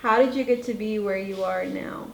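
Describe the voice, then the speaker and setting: A woman's voice: medium pitch, moderate pace, creaky, a little hiss or hum. A young woman, a student, asking interview questions in a hesitant, informal way. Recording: echoey room heard from off mic.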